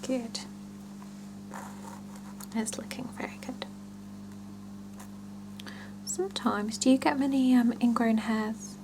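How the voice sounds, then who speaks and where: A woman doing an ASMR salon roleplay, talking gently and reassuringly to a client in a breathy whisper mixed with soft voicing. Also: on the high side, steady tone, background noise. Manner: slow, gentle, reassuring, with murmured pauses. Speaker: ASMR roleplayer playing a salon scalp-check attendant. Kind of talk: ASMR roleplay, one-sided chat with a client.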